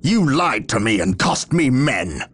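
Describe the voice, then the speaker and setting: An actor in a video game playing a proud, stern captain, commanding and accusing in formal speech. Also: male voice, low voice, animated, a little slow, slight background noise. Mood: angry, defiant. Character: stern, defiant military captain, proud and suspicious. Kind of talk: dramatic video game cutscene acting.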